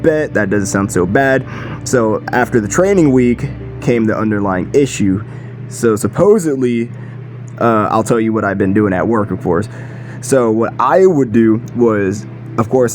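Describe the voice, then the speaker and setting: A man's voice: on the low side, a little mellow. A young man in his late teens telling a story in a chatty, slangy, self-deprecating way. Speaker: video creator. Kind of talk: casual storytime vlog. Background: music.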